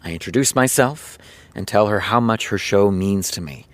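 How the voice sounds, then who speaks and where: Casting a man for audio drama: easygoing, earnest TV writer, fairly deep, dry studio sound, naturalistic confiding delivery. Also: no room sound, close to an everyday voice. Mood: confiding storyteller. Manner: casual, confiding, storytelling with dramatic pauses. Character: easygoing male TV showrunner, chatty earnest raconteur.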